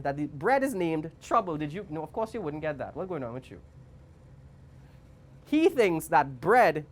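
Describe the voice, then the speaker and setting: A woman with a Trinidadian accent reads a children's story. Her voice sits a little low but swoops widely in pitch. She is theatrical and playful, dropping into chatty asides for young listeners. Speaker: guest story reader for children.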